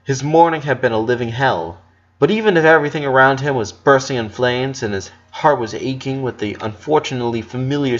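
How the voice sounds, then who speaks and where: A man's voice: mid-range voice, dull audio. A man reading fiction aloud as a dramatic narration, occasionally stumbling over a word. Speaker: fan fiction reader.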